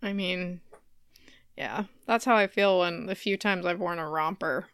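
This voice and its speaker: A woman's voice: on the low side, a little slow, fairly bright tone, clear, resonant tone, dry recording, studio quality. A woman chatting casually and informally as a podcast co-host, easily amused. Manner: laughs readily. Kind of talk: casual chat.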